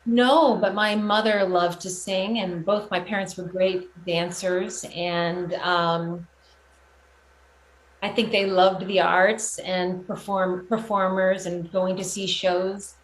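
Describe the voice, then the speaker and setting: A middle-aged American woman giving reflective interview answers, thoughtful and pausing often. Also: medium pitch, little pitch movement, rough recording. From San Francisco, United States. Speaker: guest, performing and teaching artist.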